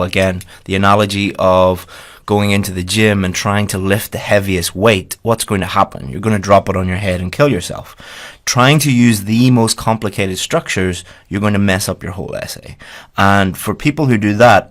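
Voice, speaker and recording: A man from Ireland, teaching a lesson over slides in a direct, explaining way that repeats key points. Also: low voice.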